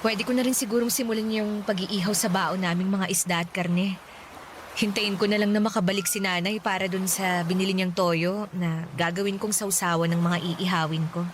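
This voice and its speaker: A woman's voice: medium pitch, steady tone, moderate pace, background noise, natural voice. A woman with a Filipino accent playing a caring market-vendor mother in a radio drama. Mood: matter-of-fact.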